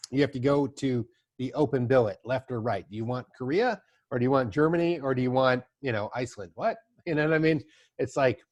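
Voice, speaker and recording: A middle-aged man chats on a podcast, rambling easily through stories. His voice sits at a medium pitch. The sound is dry and close, with no room around it. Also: darkish tone, no echo. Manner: loose storytelling, chatty asides. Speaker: podcast host. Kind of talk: casual conversation.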